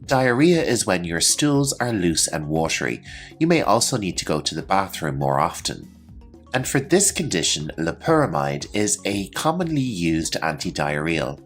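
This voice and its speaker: A man presenting a scripted English vocabulary lesson, explaining terms in a clear, instructional manner. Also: deep voice, sing-song, moderate pace, soft background music. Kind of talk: scripted educational narration with example sentences.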